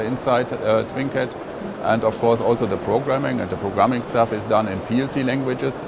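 Man in his fifties, gravelly voice, explanatory interview, hesitant fillers, lapel mic, loud hall noise. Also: mid-range voice. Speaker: interviewed expert. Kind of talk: trade show interview. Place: large busy indoor exhibition hall.

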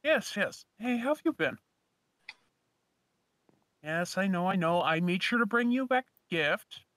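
A man's voice: high voice, animated, unhurried, fairly bright tone, no echo. A man playing an easygoing, eager young fire mage in a casual, chatty fantasy game roleplay. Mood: upbeat.

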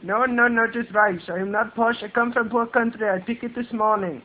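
A man's voice: very high-pitched, fairly even intonation, slight background noise, pitched above the performer's own voice. A man putting on a brash foreign carpet seller in broken English, making a prank phone call. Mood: pushy. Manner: insistent, rude, fast-talking hard sell. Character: pushy foreign male street trader, brash. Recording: tinny phone line.

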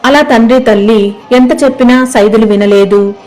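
A woman's voice: a little high, moderate pace, darkish tone, faint music behind. A woman storyteller reading a scripted moral story as narrator.

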